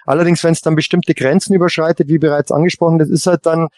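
Higher-voiced man chatting on a podcast. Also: little pitch movement, fast, darkish tone, no room sound, clean studio sound. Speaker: podcast panelist. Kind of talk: casual fan podcast discussion.

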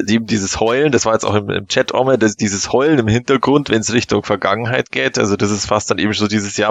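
A man with a fairly low voice reflects casually as a podcast panelist. He is recorded dry, with no room sound. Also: dry recording. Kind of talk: casual fan discussion.

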